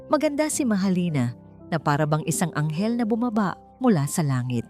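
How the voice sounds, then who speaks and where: A woman's voice: fairly low pitch, very animated, fairly quick, darkish tone. A woman with a Filipino accent narrating a dramatic romance story as an audiobook. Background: background music.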